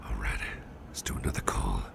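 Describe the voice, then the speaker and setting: A man voicing a courteous, attentive businessman boss in soft, breathy ASMR roleplay, speaking gently and politely and repeating his phrases. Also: fairly quick, natural voice. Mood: soothing. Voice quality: very deep, gravelly, breathy near-whisper.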